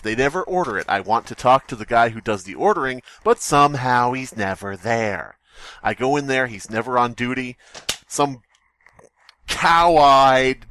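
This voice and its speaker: A man's voice: mid-range voice, fairly bright tone, no echo. A man hosting a podcast, ranting in an exasperated, profane way about a store. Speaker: podcast host. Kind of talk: comedic rant.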